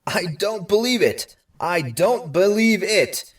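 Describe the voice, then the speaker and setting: A man playing a loud, excited male stage announcer in an audiobook, proclaiming in disbelief. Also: high voice, slow, distant mic, pitched above the performer's own voice. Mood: amazed. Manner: incredulous, proclaiming. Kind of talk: audiobook character acting.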